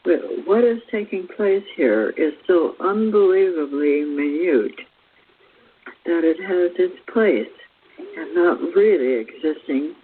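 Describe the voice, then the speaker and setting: A woman host reads spiritual writing aloud at a slow, deliberate pace, now and then stumbling over a word. Her voice is husky, and the audio is thin, like a phone line on the radio. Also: lowish voice, lively intonation. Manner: deliberate, halting reading with stumbles. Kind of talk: reading a spiritual book aloud.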